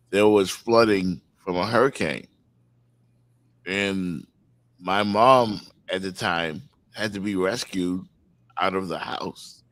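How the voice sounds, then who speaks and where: A man's voice: low voice, a bit bright. A man from the Bahamas co-hosting a casual morning show, often repeating phrases for emphasis. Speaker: talk show co-host.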